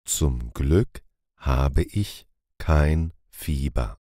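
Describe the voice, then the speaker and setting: A man reading short phrases calmly and deliberately for a language lesson, repeating each one. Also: very deep voice, no echo, clean studio sound. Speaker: language teacher.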